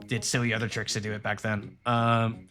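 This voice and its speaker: A man's voice: fairly low pitch, little pitch movement, brisk, crowd noise. A man from Rhode Island, streaming a game and chatting to his viewers in a rambling, joking way. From Rhode Island, New England. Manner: rambling, joking, talks to chat and the screen. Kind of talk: casual let's-play commentary.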